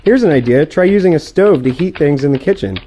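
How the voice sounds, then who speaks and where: Man acting a mellow, sarcastic boyfriend. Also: fairly high pitch, lively intonation, fast talker, dull audio, a little hiss or hum, lightly acted. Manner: sarcastic, dry. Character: sarcastic boyfriend bickering with his girlfriend online. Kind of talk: audio play dialogue.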